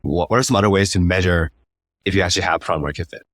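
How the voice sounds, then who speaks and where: Low-voiced guy hosting a casual interview, talks really quick, trips over and repeats words. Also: fairly bright tone, no echo, clean studio sound. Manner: halting, repeats words while forming thoughts. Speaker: interview host.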